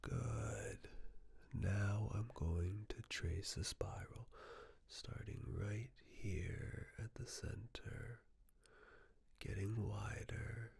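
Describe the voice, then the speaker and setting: A whispered ASMR voice of unclear sex, very low and extremely unhurried. It is breathy and husky with a gravelly edge, guiding the listener gently through soothing personal attention. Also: no room sound. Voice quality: breathy, mostly unvoiced whisper. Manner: slow, gentle, soothing step-by-step instructions. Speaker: ASMR performer playing a caregiver giving personal attention.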